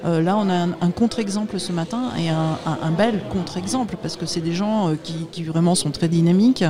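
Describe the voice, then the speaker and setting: A woman's voice: lowish voice, a little fast. A middle-aged woman from Paris with a French accent from France, giving candid, informal, affirming answers as a local politician in a conversational interview. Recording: live event with crowd behind. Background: crowd chatter.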